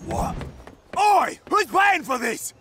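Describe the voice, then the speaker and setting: A man playing a hungry, excitable young drifter in a video game, grumbling in a raspy, gravelly voice and jumping to yelps. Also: very high voice, slow pace, background noise, exaggerated voice. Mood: indignant. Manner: slouchy grumbling that jumps to yelps. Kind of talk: video game cutscene acting.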